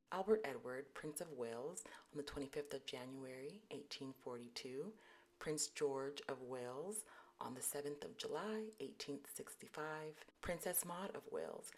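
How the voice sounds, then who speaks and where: A woman narrating royal history in a soft, whispery, deliberately lowered serious voice. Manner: deliberately low, put-on serious voice.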